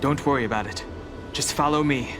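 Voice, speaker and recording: A man playing a calm, reserved guide in a game cutscene, solemn and terse as he recites lore. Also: medium pitch, fairly quick, over music, lightly acted. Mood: resolute. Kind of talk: video game cutscene acting.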